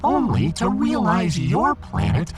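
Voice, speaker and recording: A man voicing a pompous, embittered alien fish emperor in a sci-fi audiodrama, with grandiose, theatrical, drawn-out delivery. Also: on the high side, huge pitch swings. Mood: bitterly aggrieved.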